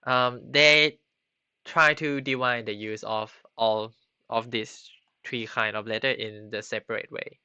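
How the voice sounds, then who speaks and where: Slow, halting man teaching online. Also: mid-range voice, steady tone, pushed and bright, smooth, dry recording. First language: not Japanese. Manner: halting, many ums and restarts. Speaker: Japanese language teacher. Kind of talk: live-streamed lesson. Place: home computer setup. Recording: slightly dull lo-fi stream audio.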